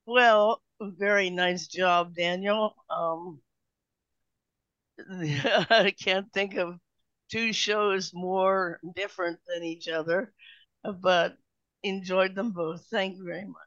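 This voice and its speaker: A woman's voice: lowish voice, steady tone, takes it slowly, a bit bright, no room sound. A woman giving casual remarks as an audience member in an online meeting, with dull sound.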